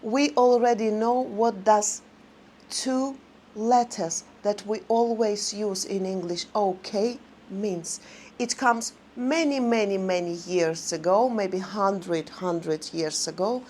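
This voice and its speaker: A woman vlogger chatting casually to her viewers in non-native English, rambling and halting with many fillers. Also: mid-range voice, darkish tone, slight background noise.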